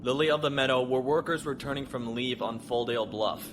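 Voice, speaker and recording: An actor playing a steady, wry imperial officer piloting a shuttle in a science fiction audio drama. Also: male voice, medium pitch, fairly quick, natural voice. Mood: polite, businesslike. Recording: music behind the voice.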